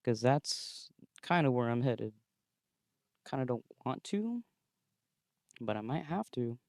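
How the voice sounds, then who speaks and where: A young man hosting a casual solo podcast from inside his car, recorded on a phone, rambling and swearing freely in a thin voice without much bass. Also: sing-song, slow pace, darkish tone, no room sound, studio quality. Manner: rambling, profane, laid-back with frequent fillers. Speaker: podcast host.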